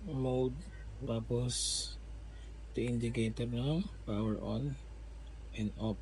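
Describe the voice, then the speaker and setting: A man with a Filipino accent casually unboxing a gadget in a vlog, relaxed and chatty with lots of fillers. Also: mid-range voice, takes it slowly, slight background noise, rough recording. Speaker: vlogger.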